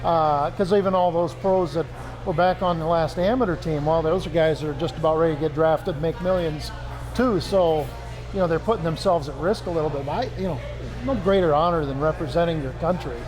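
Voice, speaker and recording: A man's voice: fairly high pitch, brisk. A middle-aged man chatting casually as a sports panel guest, with loose, meandering phrasing. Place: restaurant sports bar. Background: music.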